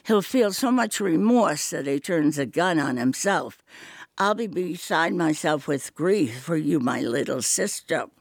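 A man's voice: on the high side, lively intonation, a little slow, dry recording, clean studio sound. A man voicing a cruel, scheming older sister who talks in a cold, mocking way. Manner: cold, contemptuous, taunting.

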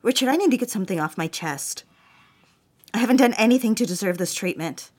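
A woman playing an earnest, troubled young secretary in a period office drama, speaking politely and with measured care. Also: medium pitch, expressive, a little mellow, breathy edge. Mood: hurt, defiant. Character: troubled young secretary, earnest, wounded but standing her ground.

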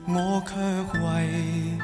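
A woman's voice: fairly low pitch, flat delivery, drawn-out words. A woman singing a nostalgic pop ballad, with musical accompaniment. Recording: reverberant vocal over loud music. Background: loud musical accompaniment.

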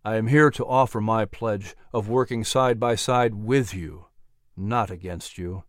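A man voicing a gentle, earnest colonial preacher who speaks in a calm, measured, persuasive way. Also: fairly low pitch, no echo, studio quality, voice pushed down, close to an everyday voice.

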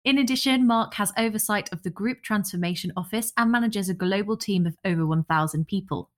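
A woman's voice: medium pitch, a little fast. A woman co-hosting a podcast, moving between scripted introductions and conversational remarks. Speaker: podcast co-host.

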